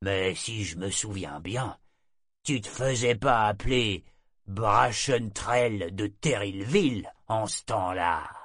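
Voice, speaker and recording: A man's voice: lowish voice, takes it slowly, fairly bright tone, no echo, clean studio sound. A man voicing a drunken, gap-toothed old sailor who rambles and slurs his words. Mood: boozy, rambling. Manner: slurred, mumbling drunken talk.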